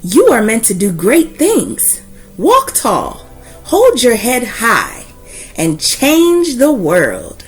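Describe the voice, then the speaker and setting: A woman reading a children's picture book aloud as host, in a deliberate, emphatic way with dramatic pauses. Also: fairly high pitch, huge pitch swings, breathy edge, faint music behind.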